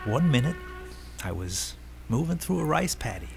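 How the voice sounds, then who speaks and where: Gravelly, calm dead soldier ghost. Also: male voice, medium pitch, normal speed. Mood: reflective, haunted. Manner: eerily calm, plainspoken. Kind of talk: American radio drama acting. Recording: vintage radio recording, music behind.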